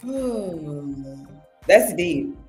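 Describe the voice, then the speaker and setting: A woman's voice: low-pitched, animated, extremely slow, a little mellow, soft background music. A young woman guest on a casual podcast, talking animatedly and acting out her own self-talk. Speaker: guest journalist.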